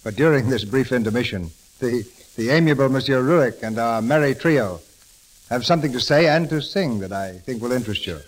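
A man's voice: mid-range voice, animated, brisk, low-quality recording. A man announcing a 1930s radio drama in a polished, formal scripted style on a hissy old recording.

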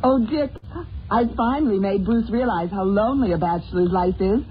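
A woman's voice: mid-range voice, moderate pace, lightly acted. A woman playing a gushing, glamorous newlywed in melodramatic old radio style. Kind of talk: old radio drama acting. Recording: tinny old recording, faint noise.

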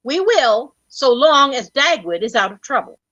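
Young radio-comedy housewife, earnest and protective, high animated voice, muffled recording female actor. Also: normal speed, no echo, exaggerated voice. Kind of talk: old-time radio comedy acting.

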